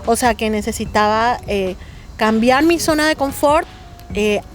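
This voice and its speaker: A woman's voice: a little high, measured pace, faint music behind. Colombian woman with a Mexican tinge. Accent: Colombian Caribbean with Mexican touches. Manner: earnest, hesitant with frequent fillers. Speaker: interview guest. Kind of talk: casual interview conversation.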